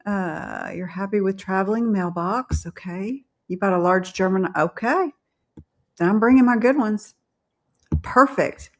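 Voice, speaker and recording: A woman's voice: mid-range voice, unhurried. A woman hosting a casual live video chat, reading viewer comments aloud in a chatty way and laughing often. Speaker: host.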